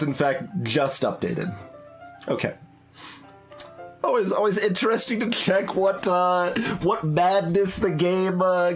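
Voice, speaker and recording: A game streamer in casual playthrough mode, thinking out loud in a halting way. The voice sits at a medium pitch, with clear music playing behind it. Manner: halting, musing aloud. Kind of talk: casual live playthrough commentary.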